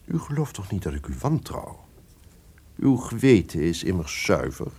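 An actor playing a cunning, distrustful lord who feigns friendship, formal and insinuating in a gothic radio drama. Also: male voice, low voice, very animated, moderate pace, a little mellow, husky, heavily acted.

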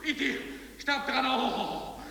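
An actor playing a frenzied insect creature, declaiming in exclamatory fragments in an experimental radio play. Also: male voice, very high-pitched, fairly even intonation, slow pace, vocal fry, bright, forceful tone, full character voice. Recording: distant, long echoing reverb.

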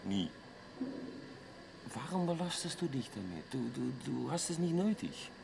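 A man's voice: mid-range voice, big pitch swings, unhurried, gravelly, noisy background. An actor playing an assertive, self-assured man with a probing, decisive manner in a naturalistic radio drama. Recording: loud background ambience.

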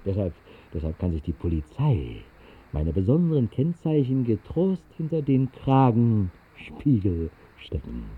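Husky, tipsy night-wandering oddball. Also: male voice, on the low side, very animated, heavily acted. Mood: mock-pompous. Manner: theatrical, halting, mock-pompous delivery. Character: tipsy, whimsical night-wandering drinker, a mock-official oddball. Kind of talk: 1960s radio drama monologue. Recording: muffled narrow-band 1960s radio recording.

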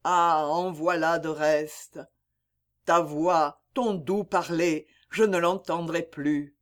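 Man voicing a grieving young lover, impassioned, pleading, slow, high voice. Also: steady tone, fairly bright tone. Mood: despairing. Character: grieving young basket weaver, desperate lover. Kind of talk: dramatic poem reading.

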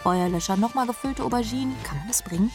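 A woman playing a jaded, sarcastic rock drummer who narrates her misadventures in a radio play, wry, deadpan and slangy. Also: fairly high pitch, fast, natural voice. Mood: exasperated. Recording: music behind the voice.

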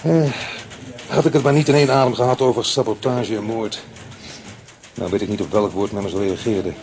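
An actor playing a shrewd, calm male police inspector who questions in a probing, measured, dry way, in a radio play. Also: lowish voice, slight background noise, close to an everyday voice.

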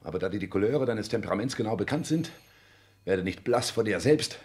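Man playing arrogant self-made son, lowish pitch, declamatory, cold, imperious, 1960s radio drama. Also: expressive, a little fast, darkish tone, natural voice. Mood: brooding. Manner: declamatory, haughty, clipped commands.

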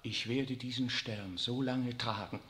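An actor playing a defiant, passionate young priest in a 1960s radio drama. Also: male voice, medium pitch, steady tone, a little slow, fairly bright tone, long reverb tail, natural voice. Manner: earnest, defiant.

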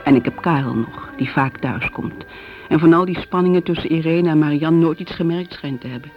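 A woman's voice: sits low, fairly quick, natural voice. An actress playing an older mother in a radio drama, fretful and judging, confiding her family worries in a Netherlands Dutch accent. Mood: wistful, pained. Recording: dull radio recording, faint music.

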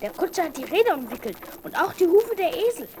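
A woman playing a cheeky, bossy young boy with a high boyish voice in a children's radio play. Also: sing-song, fast talker, noisy background. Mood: cheeky, persuasive. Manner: brash, teasing.

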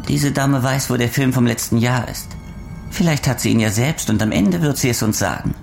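An actor playing a coldly menacing criminal interrogator in a crime radio drama, mock-courteous, taunting and deliberate. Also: male voice, medium pitch, vocal fry, darkish tone, faint music behind, lightly acted. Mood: sadistic, menacing.

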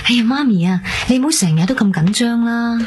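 A woman's voice: a little high, expressive, fairly quick, a little mellow, slight background noise, natural voice. An actress playing a young woman recovering from heart surgery, sighing impatiently at her mother in Cantonese. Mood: exasperated.

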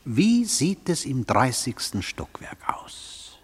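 A man's voice: medium pitch, big pitch swings, slow pace, breathy edge, in a whisper, full character voice. An actor playing a weary, appalled male interrogator in a German radio play, putting terse, sceptical questions. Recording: long reverberant hall sound.

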